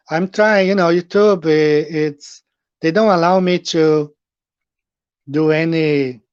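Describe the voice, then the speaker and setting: A man's voice: a little high, no echo, highs cut off. A middle-aged man from Brazil with a Brazilian Portuguese accent, hosting a live stream and chatting with viewers in a rambling way with many fillers. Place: home, in Japan.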